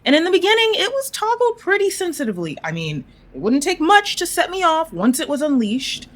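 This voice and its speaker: A woman's voice: extremely high pitch, wildly swooping pitch, fairly quick, exaggerated voice. A woman playing a brash, generous woman with dangerous powers in an audio drama, speaking bluntly and informally with wry humor. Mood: frank, animated. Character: brash, generous woman who can turn threats to ash.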